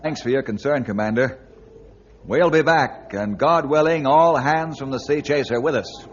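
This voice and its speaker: An actor playing a calm, courteous and resolute adventure hero in a 1950s radio drama. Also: male voice, mid-range voice, normal speed, close to an everyday voice. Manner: steady, courteous. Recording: dull, noisy 1950s radio recording.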